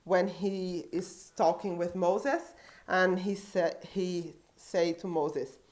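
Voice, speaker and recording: A woman in her thirties from Brazil, speaking English with a Brazilian Portuguese accent, sharing her story as a missionary and social worker in an earnest, halting way with many restarts. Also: fairly low pitch, steady tone, a little mellow. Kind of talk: personal testimony.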